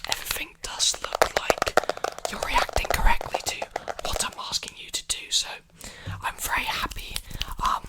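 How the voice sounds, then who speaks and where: A man's voice: normal speed, low-quality recording. A young man whispering breathily and soothingly into a close mic, repeating phrases in an ASMR roleplay as a repair technician. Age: young adult. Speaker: ASMR performer playing a robot repair technician. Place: small indoor room with clothes hanging behind. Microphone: large desktop condenser mic, very close.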